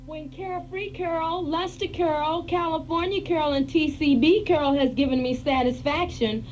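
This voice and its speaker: A woman's voice: high-pitched, a little slow, slight background noise. A woman playing a skeptical customer in a radio ad, asking doubtful questions about a product. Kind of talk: radio ad skit. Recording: muffled distant old tape.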